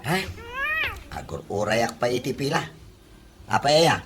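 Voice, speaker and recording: Guy doing goofy curious filipino sidekick, pitch all over the place, radio comedy. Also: very animated, unhurried, noisy background. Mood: puzzled. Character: male buddy, comical curious tagalong flirt. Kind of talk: comedy radio drama acting.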